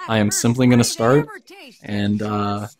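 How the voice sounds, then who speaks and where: A man's voice: fairly low pitch, level tone, a little slow. A man reading a comic fan fiction story aloud as dramatic narration.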